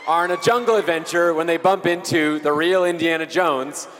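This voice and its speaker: A man's voice: on the high side, fairly even intonation, moderate pace, a bit bright. A man hosting a live improv comedy show with playful, teasing announcements. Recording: echoey live hall, faint noise.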